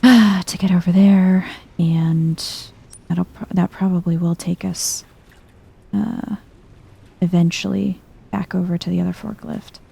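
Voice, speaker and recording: Very soft, dark-voiced woman, hushed and breathy. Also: lowish voice, fairly even intonation. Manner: hushed, unhurried, musing to self, often slipping into whisper. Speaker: video game streamer, let's player. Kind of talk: soft-spoken ASMR gameplay commentary.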